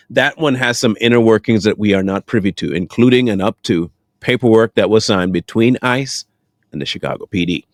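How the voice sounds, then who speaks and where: An American man with a medium-pitched voice talks through current affairs as a solo commentator. His delivery is conversational but emphatic and measured, and the sound is dry, with no room echo. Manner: deliberate, emphatic, asks and answers own questions. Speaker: online commentator. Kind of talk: off-the-cuff news and political commentary.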